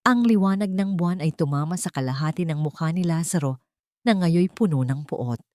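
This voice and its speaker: A woman's voice: fairly low pitch, fairly quick, darkish tone, no room sound, clean studio sound. A woman reading a scripted, dramatic love story as a storyteller.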